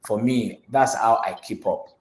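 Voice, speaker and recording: A man's voice: fairly low pitch, a little slow, a little mellow. A man with a Nigerian accent, Yoruba his first language, answering interview questions earnestly, restarting phrases and filling with "you know". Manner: earnest, repeats words, many "you know" fillers. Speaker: guest speaker. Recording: roomy echo.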